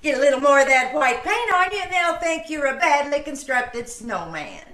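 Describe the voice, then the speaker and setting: A woman playing a patient, kindly wife with dry wit in old-time radio comedy, folksy and gently scolding. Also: high voice, lively intonation, moderate pace. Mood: teasing. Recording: echoey video call, faint noise.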